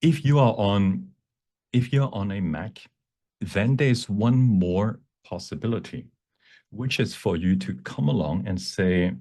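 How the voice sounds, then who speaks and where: Low-voiced man presenting a workshop. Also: unhurried, dark tone. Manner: bilingual, switches between English and Cantonese. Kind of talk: live software demonstration, explanatory teaching.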